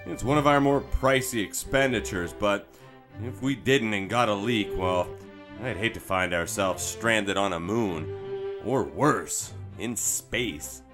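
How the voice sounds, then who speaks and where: A man's voice: fairly low pitch, takes it slowly, over music. A man acting as an easygoing, practical, self-deprecating spaceship crew leader in an audio drama. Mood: relaxed, explanatory.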